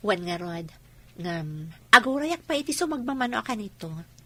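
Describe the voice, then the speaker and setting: A woman's voice: medium pitch, very animated, moderate pace, sharp tone, no echo. A woman playing an affectionate young woman being courted, acted in the style of a radio comedy drama. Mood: earnest, hesitant.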